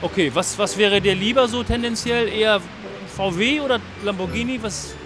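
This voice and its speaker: A middle-aged man works as a street reporter. He interviews children in a friendly, encouraging way, outdoors on a city street with music clearly behind him. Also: sits high, moderate pace. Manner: upbeat, encouraging. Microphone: handheld mic with windscreen. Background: music, street and traffic sounds.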